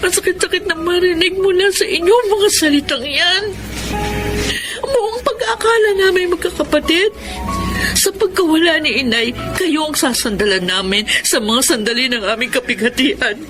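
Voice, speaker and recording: A woman's voice: extremely high pitch, expressive, moderate pace, music in the background, rough recording. A woman playing an earnest, respectful, emotional grown daughter in a Filipino radio drama. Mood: tearful, hurt. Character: grieving, dutiful, hopeful grown daughter and eldest sibling. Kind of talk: Filipino radio drama acting and first-person narration.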